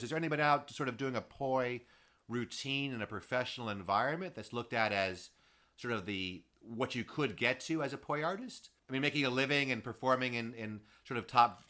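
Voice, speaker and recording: A man's voice: medium pitch, brisk, sharp tone. A man interviewing a guest in a conversational way, asking curious, probing questions with many hedging phrases.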